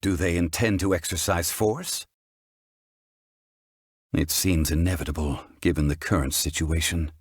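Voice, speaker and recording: An actor playing a composed, erudite, worldly-wise gentleman in a video game, speaking formally, deliberately and thoughtfully. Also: deep voice, fairly even intonation, slightly rough, no echo, clean studio sound. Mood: composed, reflective. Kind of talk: scripted video game character dialogue.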